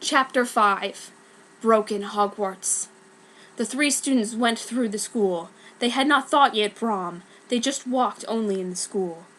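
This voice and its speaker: A woman's voice: on the high side, slow, fairly bright tone, poor audio. A woman giving a dramatic, mock-serious reading of a scripted story as narrator. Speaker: reader of a fan story.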